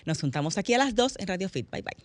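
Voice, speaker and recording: A Dominican woman in her forties talks quickly as a radio host. Her delivery is conversational, moving between casual interview questions and addressing the listeners. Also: fairly low pitch, big pitch swings, dry recording, soft background music. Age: 40s.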